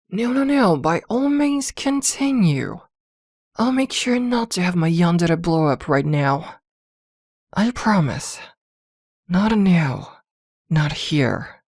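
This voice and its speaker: A woman playing a possessive, protective witch girlfriend in an intimate ASMR roleplay, doting yet jealous, with hesitant pauses. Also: lowish voice, a little mellow, slightly rough, dry recording, clean studio sound, exaggerated voice. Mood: tense, coaxing.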